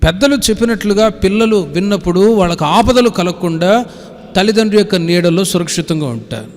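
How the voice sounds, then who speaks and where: Male preacher, high register, instructive scripture exposition with repeated phrases, heard with long hall reverb. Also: animated, a little fast. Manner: didactic, repeating phrases and citing verses. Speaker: pastor. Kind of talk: sermon with scripture reading and explanation.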